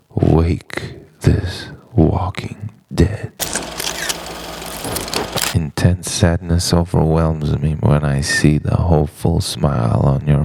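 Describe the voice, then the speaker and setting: A man delivering an introspective spoken-word monologue in short, halting, dramatic phrases. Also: a bass voice, gravelly, darkish tone, no room sound. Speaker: narrator of own written reflection.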